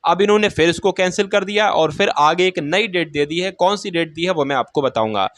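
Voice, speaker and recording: A man's voice: sits high, brisk, fairly bright tone. A man presenting exam news, walking viewers through an official notice on screen and reading it aloud while chatting to them as friends. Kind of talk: casual explanatory screen walkthrough.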